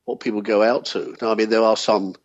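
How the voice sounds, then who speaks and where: Low-voiced man, wry and discursive. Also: little pitch movement, fairly quick, muffled recording. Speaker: interviewed UFO sceptic. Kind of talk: casual interview chat.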